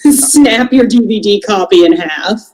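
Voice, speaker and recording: A woman's voice: mid-range voice, measured pace, crowd noise, poor audio. A woman on a podcast panel chatting casually, in a playful, informal way that often interrupts itself. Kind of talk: casual chat.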